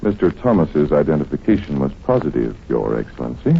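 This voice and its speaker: An actor playing a genial, wry doctor investigating a case in an old-time radio drama, casual and teasing. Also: male voice, very deep voice, sing-song, vocal fry, heavily acted. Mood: firm, polite. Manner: casual, teasing, unhurried hesitations. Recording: tinny, noisy vintage radio recording.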